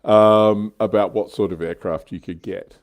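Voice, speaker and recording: A man with a very low voice talks casually as a livestream co-host. He thinks aloud, hesitating and hedging as he speculates about his hobby. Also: fairly even intonation, moderate pace.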